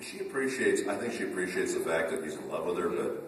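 A man's voice: deep voice, expressive, fast, slight background noise. A male voice actor answering fan questions on a convention panel, chatty and joking, with frequent restarts. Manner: chatty, jokey storyteller with many restarts. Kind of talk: casual convention Q&A. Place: large convention function room. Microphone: table mic seen from far camera. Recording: echoey hall from far off.

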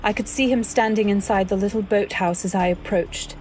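A woman's voice: medium pitch, fairly quick, darkish tone, soft background music, lightly acted, rough recording. A woman playing an anxious, devoted wife who narrates her story in dramatic old-time radio style. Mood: somber. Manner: dramatic, earnest delivery. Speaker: narrator and actor.

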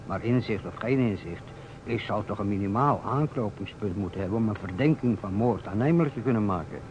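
An actor playing a sceptical, puzzled amateur detective in a radio play, hesitant and grudging. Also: male voice, fairly low pitch, fairly quick, lightly acted. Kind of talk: Dutch radio play acting. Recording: dull, noisy old radio recording.

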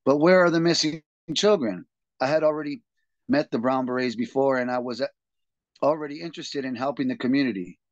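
A man hosting a reading group, reading a text aloud in an earnest, steady way and then leading the discussion over a video call. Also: mid-range voice, brisk, no echo. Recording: dull, dry lo-fi video call audio.

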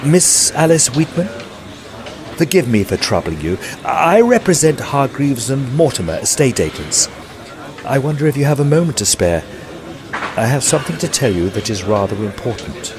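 A man's voice: medium pitch, big pitch swings, normal speed, a crowd in the background, natural voice. A man playing an earnest, polite Edwardian estate agent who narrates a mystery in an audio drama. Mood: hesitant, apologetic. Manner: formal, polite. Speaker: narrator and character.

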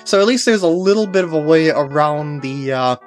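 A young man giving casual, unscripted walkthrough commentary in a halting way with wry asides. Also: fairly high pitch, animated, soft background music.

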